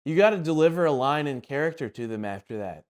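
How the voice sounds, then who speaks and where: A man's voice: on the high side, lively intonation, quick pace. A man hosting a podcast, putting questions to a guest in a casual, conversational style. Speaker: podcast host.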